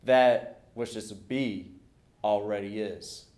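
A man reading a passage of scripture aloud in a church service, slowly and deliberately. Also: on the low side, steady tone, a little mellow. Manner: slow, deliberate recitation. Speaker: scripture reader. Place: church sanctuary. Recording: echoey church.

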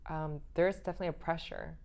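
A woman from Canada, a podcast guest, chatting in an easygoing, soft-spoken and affirming way. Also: low voice, steady tone, fast, creaky. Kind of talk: casual interview chat.

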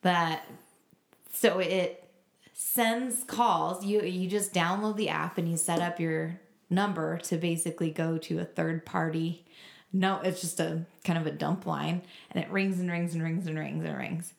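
A woman's voice: lowish voice, fairly even intonation, measured pace, vocal fry. A woman co-hosting a podcast in casual conversation, recorded with a roomy echo. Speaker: podcast co-host. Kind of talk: casual chat. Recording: roomy, echoing sound.

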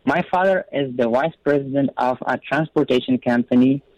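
A man's voice: mid-range voice, moderate pace, darkish tone, dry recording, lo-fi sound. A man from Afghanistan with an Afghan accent, giving a personal account as an interview guest in a careful, formal way.

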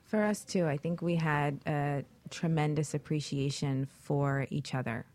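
A woman's voice: deep voice, steady tone, measured pace, darkish tone, no room sound. A woman in casual, reflective conversation as part of a panel talk.

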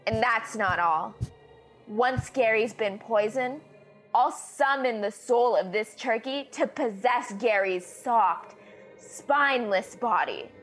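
A woman's voice: a little high, slow, a bit bright, faint music behind. A woman playing a bitter, scheming housewife who hosts a cooking show in a comedy radio play, mock-cheerful and sardonic. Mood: vengeful, scheming.

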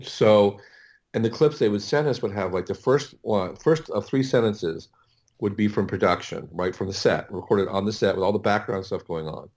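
A middle-aged man telling stories as an audio producer in a casual interview, halting and rambling as he repeats and restarts his words. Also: lowish voice, darkish tone.

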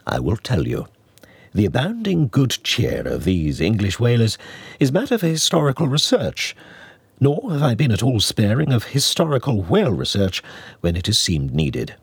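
A man reading a classic novel as an audiobook narrator, in a measured, expressive, mock-scholarly manner. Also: fairly low pitch, gravelly, husky, no room sound. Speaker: audiobook reader.

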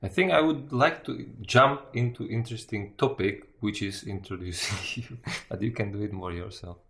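A man's voice: lowish voice, moderate pace, fairly bright tone. A man casually interviewing someone, hesitantly rephrasing his questions as he goes. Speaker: interviewer in conversation with a guest.

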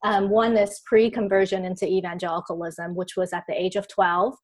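Female guest from Taiwan, mid-pitched, reflective, chatty interview pace. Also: level tone, fairly quick, darkish tone. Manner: thoughtful, reflective, frequent ums. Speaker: author and guest. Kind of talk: podcast interview.

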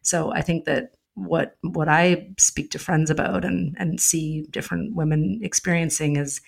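A woman's voice: low-pitched, steady tone, unhurried, vocal fry. A woman in casual conversation, reflective, asking open questions and leaning on "you know" and "like".

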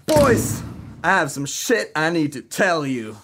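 A man playing an earnest, excitable young rock band member in an amateur radio play. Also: a little high, takes it slowly, noisy background, exaggerated voice. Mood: urgent.

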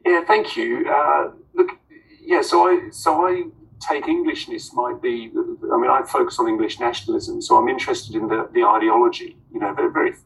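A man's voice: medium pitch, normal speed, gravelly, a bit bright, distant mic, a little hiss or hum, poor audio. A man, an author on a panel, answering hesitantly with many ums and restarts.

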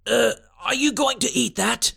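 A man's voice: extremely high pitch, a little slow, gravelly, bright, forceful tone, slightly rough, voice pushed up, exaggerated voice. A man doing a whiny little magic schoolboy. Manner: whiny, grumbling. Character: tiny magic schoolboy, grumpy and put-upon.

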